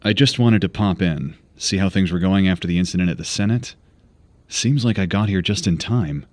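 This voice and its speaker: A man's voice: deep voice, moderate pace, dry recording, natural voice. A man playing an earnest, decisive younger superhero with a direct delivery in an audio drama. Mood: relaxed, curious. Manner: casual, direct.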